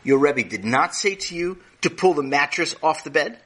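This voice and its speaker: A man's voice: fairly high pitch, lively intonation, normal speed, fairly bright tone, husky. A man voicing an exasperated mother who keeps questioning her son, in a raised, incredulous voice. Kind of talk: storytelling with character voices.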